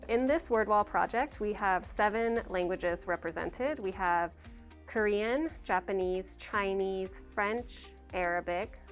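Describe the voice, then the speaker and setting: Woman teacher, documentary interview, brisk and eager, faint music bed. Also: medium pitch. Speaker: elementary school teacher.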